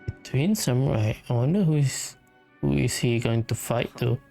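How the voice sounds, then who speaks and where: A woman's voice: rumbling low, normal speed, creaky, dark tone, soft background music. A woman giving casual, laid-back reactions while playing through a video game. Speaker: gaming channel player. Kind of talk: casual let's-play commentary.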